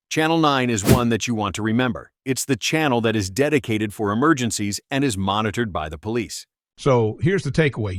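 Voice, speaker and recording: A man's voice: mid-range voice, no echo, studio quality. A middle-aged American man in a scripted narration, speaking in a no-nonsense, folksy way as a trucking channel host.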